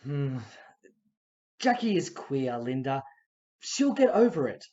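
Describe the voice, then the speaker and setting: A woman's voice: a bass voice, sing-song, slow pace, warm tone, heavily acted. A woman playing a reassuring, firm, affectionate young husband in an audio play. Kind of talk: amateur audio play acting. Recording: dull, muffled lo-fi.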